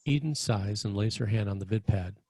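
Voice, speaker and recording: A man's voice: lowish voice, a little fast, dark tone, dry recording. A man reading screenplay stage directions as a narrator at a table read.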